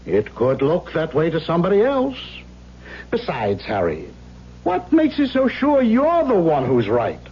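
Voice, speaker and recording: Animated probing doctor from old radio. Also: male voice, on the high side. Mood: probing, insistent. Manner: deliberate, pointed, analytical. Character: probing, challenging male doctor lecturing his patient. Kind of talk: old-time radio drama acting. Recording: muffled, humming old radio recording.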